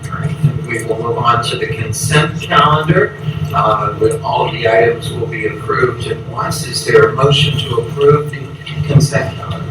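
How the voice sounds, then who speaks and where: A man chairing a public board meeting, running through votes in a brisk, procedural way. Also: mid-range voice. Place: large echoey meeting room. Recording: echoey, distant, noisy meeting recording.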